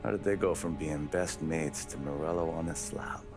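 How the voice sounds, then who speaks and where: A hard-nosed American lawman with an extremely deep, gravelly voice. He is blunt and sardonic, in cinematic game style. Music sits clearly behind him. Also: moderate pace. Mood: probing. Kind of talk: cinematic video game crime drama acting.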